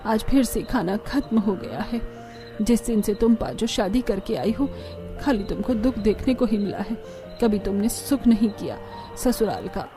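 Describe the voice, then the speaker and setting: A woman's voice: mid-range voice, moderate pace, over music, voice pushed down, close to an everyday voice. A woman voicing a caring, sorrowful elderly mother-in-law in a cartoon story, tender and lamenting.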